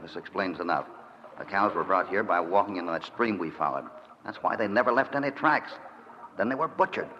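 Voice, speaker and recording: An actor playing a calm, commanding cowboy hero in 1940s radio acting style. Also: male voice, low voice, a little fast. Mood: steady. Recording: muffled old radio recording with hiss.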